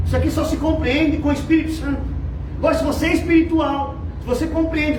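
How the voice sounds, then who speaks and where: A Brazilian man with a Brazilian Portuguese accent preaching a fervent sermon, dropping to low murmurs and rising to shouts. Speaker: pastor. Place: church. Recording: echoey, distant, noisy church hall.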